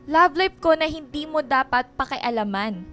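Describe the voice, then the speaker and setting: A woman's voice: high voice, animated, moderate pace, fairly bright tone. A woman playing a devoted, hardworking young daughter in her twenties in a Filipino radio drama, earnest and insistent. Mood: defensive. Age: 20s. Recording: music behind the voice.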